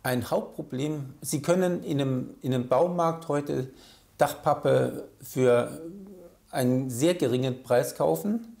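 Man, official in interview, halting explanation, warm dark tone, gravelly fry, mid pitch. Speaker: forestry enforcement official, interviewee.

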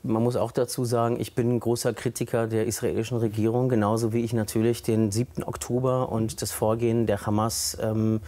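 A man speaking as a guest in a recorded TV interview, thoughtful and hesitant, with frequent fillers and pauses. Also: mid-range voice, flat delivery, dark tone. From Germany.

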